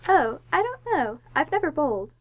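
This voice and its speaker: Lady playing prim young date, really high voice, swoops up and down, old radio drama. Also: very animated, normal speed, narrow, tinny audio. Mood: polite, eager. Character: polite, demure young woman on an awkward first date.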